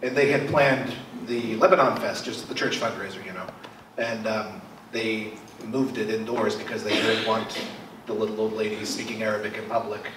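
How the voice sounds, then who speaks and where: A man in his thirties moderating a panel discussion with a handheld mic, speaking in a hesitant, halting way. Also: lowish voice, fairly even intonation. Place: large church hall. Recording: echoey hall from far off, faint hiss.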